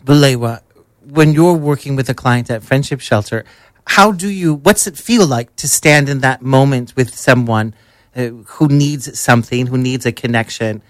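A talk show host puts questions to the guests, backing up and restarting mid-sentence. The voice sits in a middle pitch and is recorded close and dry. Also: dry recording. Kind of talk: interview questions.

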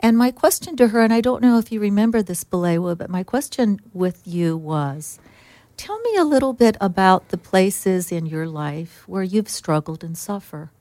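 A middle-aged woman, a social work supervisor, answering interview questions in a soft, gentle, thoughtful way. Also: medium pitch, animated, normal speed, dry recording.

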